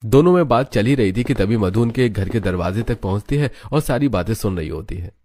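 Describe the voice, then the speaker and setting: Male story narrator, lowish pitch, dark relaxed timbre, scripted storytelling. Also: brisk.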